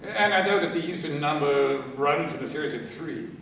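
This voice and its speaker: An actor playing a shrewd, exasperated police inspector in a radio crime play, brisk and questioning. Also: male voice, a little high, a bit bright, natural voice. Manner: brisk, questioning, musing aloud. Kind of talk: radio crime drama acting. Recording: very echoey distant room, faint noise.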